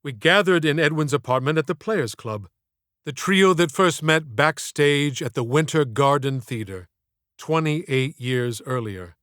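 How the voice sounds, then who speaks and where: Unhurried male audiobook narrator, clean voice. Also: a little high, clean tone, no room sound, clean studio sound. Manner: measured, literary, expressive delivery. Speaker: author narrating own audiobook.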